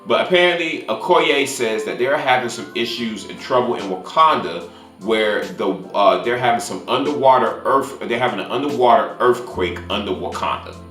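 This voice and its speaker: A man giving a casual spoiler-filled movie review as a YouTuber, haltingly, often repeating and restarting his phrases. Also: mid-range voice, expressive, fairly bright tone, roomy sound, faint music behind.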